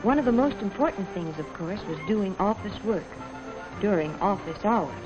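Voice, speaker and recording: An actress playing a polite, earnest young American office worker, narrating a 1950s training film in a measured, proper way. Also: female voice, mid-range voice, animated, close to an everyday voice. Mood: reflective, earnest. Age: young adult. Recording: dull 1950s film soundtrack, music behind.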